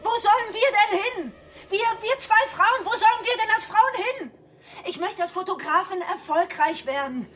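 Ambitious anxious woman, high pitch, pleading, repetitive, radio drama, prominent music behind. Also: normal speed, fairly bright tone. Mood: agitated, firm. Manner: urgent, pleading, repeating herself. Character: anxious, ambitious woman photographer hiding a forbidden love.

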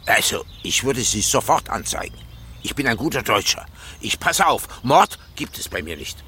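Bright-voiced, officious postman. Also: medium pitch, big pitch swings, normal speed. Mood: teasing, officious. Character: chatty, officious, teasing male postman. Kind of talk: radio drama acting. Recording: clear background noise, outdoor ambience.

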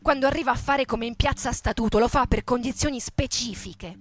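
A woman's voice: a little high, fairly quick, natural voice. A woman playing a fiery, defiant male factory worker in a radio theatre monologue, speaking colloquially in short, emphatic, repetitive phrases. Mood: defiant, firm. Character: militant male Fiat factory worker recalling the 1962 revolt, fiery, defiant.

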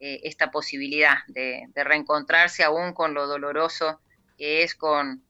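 A woman's voice: sits low, steady tone, measured pace, sharp tone, clear, resonant tone, highs cut off. A woman historian, speaking thoughtfully in a radio interview with many restarts. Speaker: local historian.

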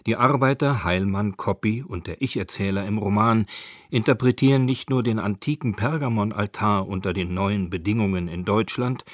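A man reading scripted documentary narration for a radio feature in a measured, factual manner. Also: on the low side, no room sound, clean studio sound.

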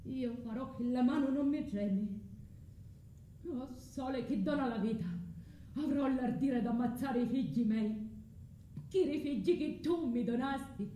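Anguished vengeful mother, high voice, declamatory radio tragedy, swinging from tenderness to fury, roomy echo. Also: a little slow, mellow. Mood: resolute, anguished. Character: Medea, anguished vengeful mother resolving to kill her children. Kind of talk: tragic stage monologue for radio theatre. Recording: roomy echo, faint background noise.

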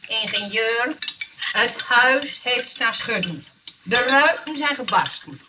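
A woman's voice: on the high side, animated, slow pace, distant mic, background noise. An actress playing a kind but bossy nurse who runs a rest home, cheerful and motherly in a radio comedy. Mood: bossy scolding. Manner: cheerfully defensive, motherly scolding. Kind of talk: Dutch radio comedy drama acting.

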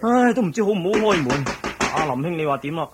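A man's voice: fairly high pitch, expressive, fast talker, very noisy, close to an everyday voice. A man playing an earnest, protective young apprentice in a radio drama, with a Hong Kong Cantonese accent. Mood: dutiful, worried.

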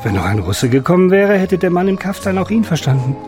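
Nostalgic old photographer, measured. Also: male voice, sits high, very animated, darkish tone, soft background music. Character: old Polish photographer and war survivor, nostalgic, dignified. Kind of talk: radio drama acting.

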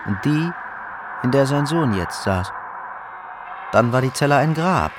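A man's voice: medium pitch, lively intonation, unhurried, background noise. A man narrating a crime story from a script, as in a radio drama. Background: music.

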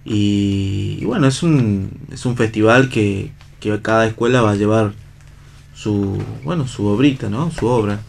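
Halting Argentine man, low creaky voice. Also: a little mellow, slight background noise. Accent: Argentine Spanish. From La Rioja, Argentina. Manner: halting, searching for words. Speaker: theatre actor and director, interview guest. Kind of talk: casual interview talk.

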